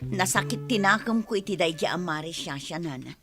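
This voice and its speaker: A woman's voice: on the low side, expressive, moderate pace, over loud music. A woman acting an exasperated, hardworking wife and mother in a radio drama, nagging with an Ilocano Filipino accent. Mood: weary, complaining. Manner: nagging, emphatic. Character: exasperated hardworking wife and mother scolding her lazy husband.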